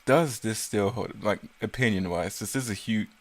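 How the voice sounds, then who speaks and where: A man's voice: fairly low pitch, normal speed. A man giving casual, self-critical, rambling commentary on his own creative work.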